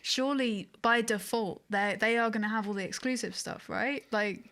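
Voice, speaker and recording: A woman's voice: on the high side, normal speed, a bit bright. A woman co-hosting a podcast, chatting casually about video games. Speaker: podcast co-host.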